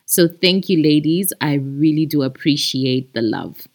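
Zambian lady podcast host, kinda low voice, takes her time, chatty and expressive like talking to friends. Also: a little slow. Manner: expressive, addresses listeners familiarly as friends. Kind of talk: chatty podcast monologue with announcements.

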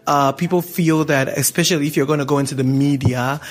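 A man's voice: a little high, brisk. A young man from Ghana hosting a podcast, talking casually and laughing often. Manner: chatty, laughing, animated asides. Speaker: podcast host. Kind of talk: casual monologue.

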